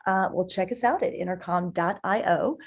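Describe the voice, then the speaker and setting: Husky, low-pitched woman guest, creaky voice. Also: highs cut off. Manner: halting, repeats words while thinking. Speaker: podcast guest, marketing executive. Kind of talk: conversational interview answers, enthusiastic product explanation.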